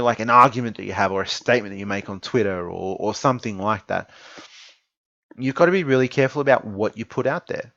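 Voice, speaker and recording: A man's voice: medium pitch, fairly bright tone, dry recording, muffled recording. An Australian man of twenty-nine giving an informal opinion monologue, earnest and emphatic, repeating phrases for stress. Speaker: esports content creator giving an opinion piece.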